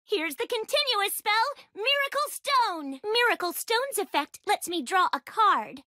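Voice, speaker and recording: A young woman voicing an excitable, bubbly young female duelist and reporter in an anime card game, with a perky, theatrical delivery. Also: very high voice, measured pace, dry recording, studio quality, full character voice. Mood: confident, excited.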